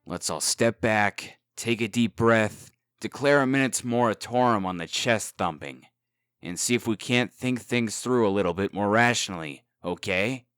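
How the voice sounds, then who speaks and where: A man's voice: lowish voice, moderate pace, a bit bright, smooth, no echo, studio quality, lightly acted. A man playing a cowardly, glib young rascal in a comic dub, pleading dramatically. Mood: nervous pleading.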